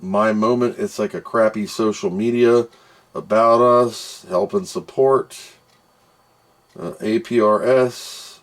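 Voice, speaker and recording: Guy w a lowish voice doing an unscripted gadget review, kinda grumbly, just thinking out loud. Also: slow. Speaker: host of a product test video. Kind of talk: casual unscripted product review.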